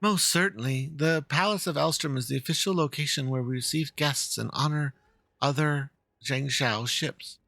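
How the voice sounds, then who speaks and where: A man voicing an earnest, worried young fox-girl in halting, polite speech, reading out video game dialogue. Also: a little high, creaky, sharp tone, no echo. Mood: formal.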